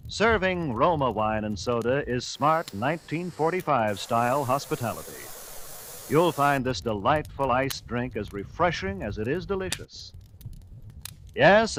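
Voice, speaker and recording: A man's voice: medium pitch, a bit bright. An American man announcing on 1940s radio, reading scripted sponsor copy with a polished salesman's delivery on a hissy old recording.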